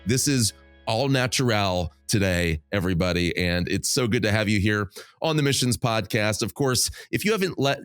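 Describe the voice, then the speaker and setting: Man with a dry, lower-than-usual voice. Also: dry recording. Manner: upbeat, promotional, warmly welcoming. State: getting over a cold, voice lower than usual. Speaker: podcast host. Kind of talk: scripted podcast intro and interview.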